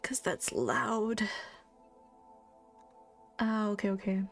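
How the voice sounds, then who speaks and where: Soft-spoken young woman with vocal fry. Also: mid-range voice, slow pace. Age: young adult. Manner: soft-spoken, chatty, calls her voice monotone. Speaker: streamer. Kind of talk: casual live-stream chat while gaming. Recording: game music playing behind. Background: game music.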